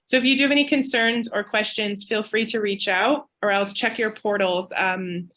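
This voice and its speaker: A woman's voice: mid-range voice, fairly even intonation, moderate pace, a bit bright. Chatty woman losing her voice. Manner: chatty, apologetic, informal. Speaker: admissions officer. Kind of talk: webinar presentation. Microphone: video call.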